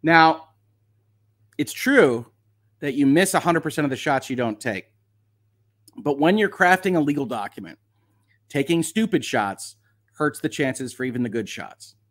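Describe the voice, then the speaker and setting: Wry man with a higher-pitched voice. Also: expressive, unhurried, pauses often, fairly bright tone. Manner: wry, conversational, with sardonic asides. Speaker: lawyer hosting a commentary livestream. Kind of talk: casual legal commentary, reading documents aloud.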